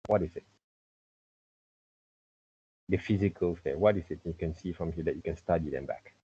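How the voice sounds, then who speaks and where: A middle-aged man with a husky voice teaching an informal online lesson, repeatedly checking with "okay" and "right". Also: low voice, normal speed, mellow. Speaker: teacher, art and character design tutor. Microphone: computer mic on a video call. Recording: muffled video-call audio.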